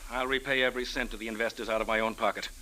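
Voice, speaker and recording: A man's voice: mid-range voice, fairly even intonation, very fast, pushed and bright, natural voice, low-quality recording. A man playing an indignant, wounded husband and businessman in an old-time radio drama, formal and insistent. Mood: earnest.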